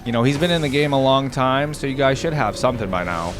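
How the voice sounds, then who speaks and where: A man's voice: mid-range voice, fast, music in the background. A man giving casual, off-the-cuff reaction commentary as a video host, thinking aloud in an informal, exclamatory way.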